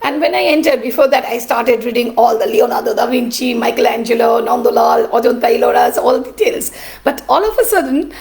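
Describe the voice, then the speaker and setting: High-pitched woman with a cracked voice. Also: a little mellow. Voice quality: cracked, rough voice. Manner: halting, with many "uh" pauses. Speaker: artist and art teacher. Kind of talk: personal storytelling.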